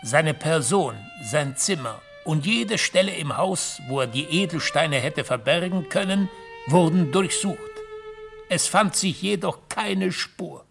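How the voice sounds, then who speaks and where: An actor playing a distraught, formal middle-aged gentleman in a German radio play, emphatic and agitated. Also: fairly high pitch, slow pace, creaky, faint music behind, full character voice. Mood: desperate, agitated. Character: distraught, formal older gentleman banker, father and uncle.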